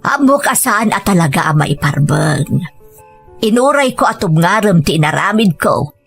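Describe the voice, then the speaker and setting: A woman's voice: lowish voice, very animated, moderate pace, vocal fry, husky, soft background music, heavily acted. A woman playing a solemn, secretly caring older mother-in-law in an audio drama, speaking sincerely. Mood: apologetic.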